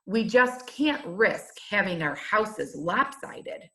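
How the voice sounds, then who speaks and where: Woman playing stuffy male square, storybook character, pompous, grumbly, deliberate slow pace, swinging pitch. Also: big pitch swings, bright, forceful tone, exaggerated voice. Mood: pompous, dismissive.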